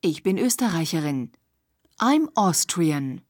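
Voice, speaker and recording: Slow, breathy woman reading lesson phrases. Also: lowish voice, sing-song, a little mellow, no echo, clean studio sound. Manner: slow, careful, clearly articulated phrases. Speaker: language course speaker. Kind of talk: scripted language-course reading.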